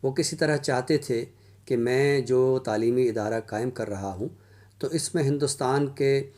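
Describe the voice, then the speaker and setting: A man giving a scholarly literary lecture in a formal, deliberate manner with pauses. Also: medium pitch, a little mellow.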